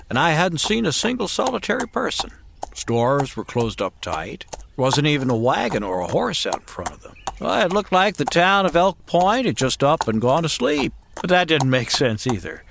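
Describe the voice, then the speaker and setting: An actor playing an easygoing, lanky male cowboy drifter from Texas, talking in a slow drawl with stammers, in a comedy radio drama. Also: mid-range voice, vocal fry. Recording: hissy background noise.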